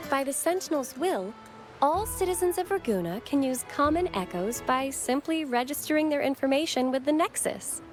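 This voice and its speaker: Video game acolyte: a courteous, devout young guide, high-pitched, formal and reverent, over background music. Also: female voice. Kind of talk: scripted video game dialogue, reverent exposition.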